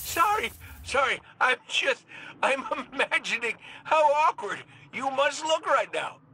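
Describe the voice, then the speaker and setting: An actor playing a cocky, wisecracking robot gunslinger in a video game, with an American accent and a wry, folksy, sardonic way of talking. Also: male voice, very high voice, animated, a little slow, pushed and bright, a little hiss or hum, exaggerated voice, low-quality recording. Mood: amused. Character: cocky wisecracking male robot gunslinger, roguish hunter leader. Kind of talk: video game voice acting, recorded farewell messages.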